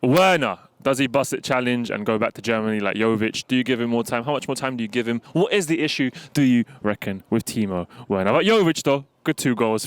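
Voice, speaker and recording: A man's voice: mid-range voice, no echo. A man with a London accent co-hosting a casual football chat, bantering with plenty of slang. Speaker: football show co-host.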